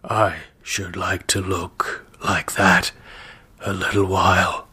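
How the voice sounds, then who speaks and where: A man voicing a grave, solemn stranger, a ghost of the old West, speaking slowly and deliberately in a storybook reading. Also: low voice, level tone, bright, forceful tone, slightly rough, full character voice.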